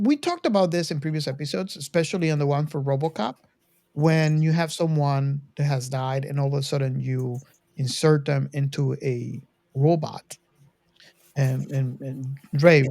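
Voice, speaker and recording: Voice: medium pitch, darkish tone, no room sound. A podcast host talking casually about a film, with rambling asides to listeners. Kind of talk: casual movie discussion.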